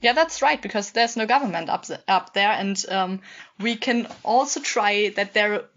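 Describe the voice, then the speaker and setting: A woman's voice: medium pitch, moderate pace. A woman chatting casually as a podcast guest, improvising in a tentative, hesitant manner. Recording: dull, muffled sound.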